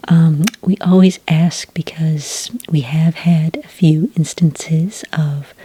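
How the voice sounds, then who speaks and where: A woman playing a calm, polite agent who takes sighting reports in a soft-spoken ASMR roleplay, breathy and whispery, with gentle, patient questioning. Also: low-pitched, slow, no echo, exaggerated voice. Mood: tactful, earnest.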